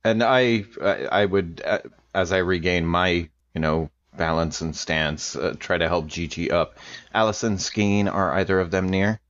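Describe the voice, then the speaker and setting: A man's voice: low-pitched, steady tone, a little slow, fairly bright tone, lo-fi sound. A man playing a tabletop game, hesitant and full of fillers. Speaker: player. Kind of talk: casual play at a tabletop game.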